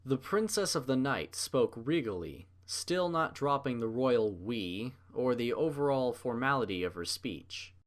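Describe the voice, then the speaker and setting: Lively male narrator, very varied pitch. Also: unhurried, few pauses. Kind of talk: animated fan fiction story narration.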